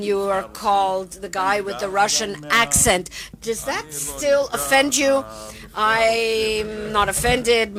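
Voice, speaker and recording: A woman giving a live interpretation of a conference interview, with a measured, halting delivery and pauses as she keeps up. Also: mid-range voice, fairly bright tone. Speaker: simultaneous interpreter.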